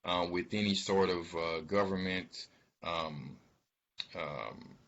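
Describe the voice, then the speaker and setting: A man giving informal financial commentary, speaking haltingly and emphatically, repeating words for stress. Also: low-pitched, gravelly, fairly bright tone. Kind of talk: informal spoken commentary on an earnings call. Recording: dull, lo-fi sound.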